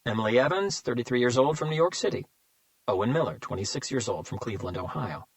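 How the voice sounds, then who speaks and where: A man's voice: mid-range voice, expressive, dry recording, lightly acted. A man voicing a smug male serial killer who mocks and savors his words, in an audiobook reading. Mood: smug, taunting. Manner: mocking, slow and savoring.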